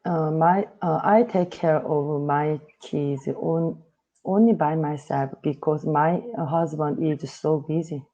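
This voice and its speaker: Halting Korean woman learning English. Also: low voice, steady tone, warm tone, highs cut off. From South Korea. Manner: halting, with frequent uh fillers and searching for words. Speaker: English student. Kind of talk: casual online English conversation practice. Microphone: video call.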